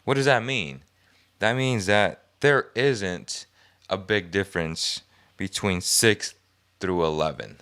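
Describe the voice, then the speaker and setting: A young adult man hosting a sports podcast, hoarse and raspy from a cold, giving frustrated, emphatic commentary. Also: deep voice, slow pace, a bit bright. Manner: frustrated, emphatic, thinking aloud. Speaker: podcast host.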